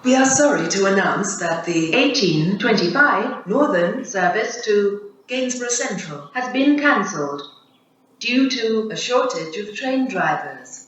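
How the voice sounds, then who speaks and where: An automated station announcer, a woman, reading railway announcements in measured, evenly stitched phrases. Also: mid-range voice, slight background noise. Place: outdoor railway station platform. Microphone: public address speakers recorded from a distance. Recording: echoey muffled station tannoy from far off.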